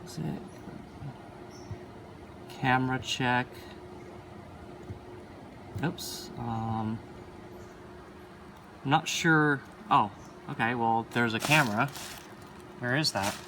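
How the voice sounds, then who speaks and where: Offhand man muttering over noisy background. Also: mid-range voice. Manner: offhand, muttering to himself while handling equipment. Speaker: hobbyist arcade machine video maker. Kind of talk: casual unscripted hands-on commentary.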